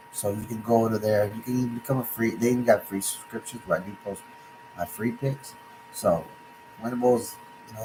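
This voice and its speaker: A man with a raspy voice from feeling sick, rambling casually about betting picks and promo codes with lots of fillers. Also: fairly low pitch, noisy background. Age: adult. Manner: rambling, many fillers and restarts. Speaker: sports betting tipster.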